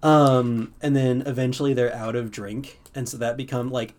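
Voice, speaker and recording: A man's voice: mid-range voice, fairly even intonation, normal speed, a little mellow. A man with a mid-range voice improvises his part in a tabletop roleplaying game. He narrates casually and in a rambling way, dropping into bits of character dialogue as he goes.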